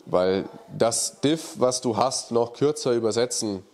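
A man's voice: mid-range voice, a little slow, darkish tone. A man in his thirties with a slight cold and nasal voice, bluntly answering viewer questions in a casual chat, recorded on a lapel mic in an indoor office. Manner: blunt, colloquial, frank verdicts. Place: indoor workshop office, seated in armchair.

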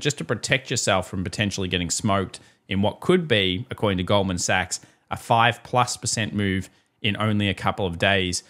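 A man with an Australian accent walking through market charts, brisk and opinionated, asking and answering his own questions. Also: lowish voice, fairly bright tone. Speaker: market analyst host. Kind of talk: chart-walkthrough market commentary. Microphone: voice-over on screen recording.

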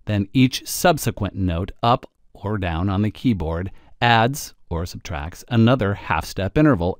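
A man presenting a software tutorial, explaining step by step in a conversational way with wry asides. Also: lowish voice, no echo, studio quality.

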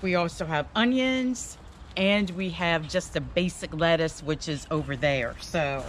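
A woman's voice: sits low, measured pace. An older woman with an American accent chatting casually to camera, playful and self-deprecating. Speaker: vlogger showing her home garden. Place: outdoors in a fenced backyard. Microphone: handheld camera at arm's length. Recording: clearly noisy outdoor ambience.

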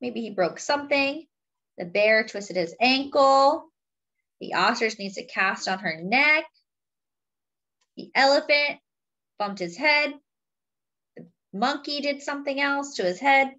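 A woman reading a picture book aloud to young children and commenting on the pictures, expressively and with pauses for emphasis. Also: high voice, animated, sharp tone, dull audio. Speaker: children's storybook reader.

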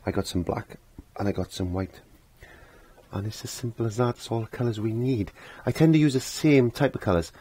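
Croaky, low-voiced man teaching painting. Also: a little fast, dark tone, no echo. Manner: rambling, self-talking, repeats phrases. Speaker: painting instructor. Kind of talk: casual step-by-step painting demonstration. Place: indoor home art studio.

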